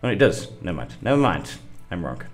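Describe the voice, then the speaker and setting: A middle-aged man, getting over a cold and a bit sniffly, talking through a game on a livestream in a halting way, thinking aloud with lots of fillers. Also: deep voice, very animated, faint music behind. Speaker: gaming livestream host. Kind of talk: casual gameplay commentary.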